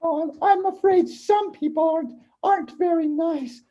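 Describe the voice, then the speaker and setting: A woman playing a high-voiced fretful older wife. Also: normal speed, dark tone. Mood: weary, kindly. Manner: hesitant, sighing, repeating words. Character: Naomi, fretful, kindly older Israelite wife and mother. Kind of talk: children's puppet show dialogue.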